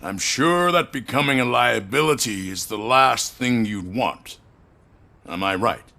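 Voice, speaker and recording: An actor playing an old corporate chief, courteous and weary, speaking in a measured, formal way with quiet control. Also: male voice, medium pitch, vocal fry. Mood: measured, probing. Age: older. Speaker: company head. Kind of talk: video game dialogue acting.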